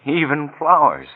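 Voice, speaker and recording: An actor playing a suspicious, bitterly wry husband in 1940s radio mystery style, speaking in a dry, pointed way. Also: male voice, fairly high pitch, phone-quality sound, full character voice. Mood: dry, composed. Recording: very noisy vintage 1940s radio recording.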